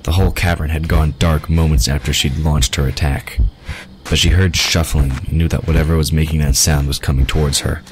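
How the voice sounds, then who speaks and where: A man's voice: very deep voice, steady tone, brisk, noisy background. A man narrating a scripted fantasy audio drama. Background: ambient sound effects.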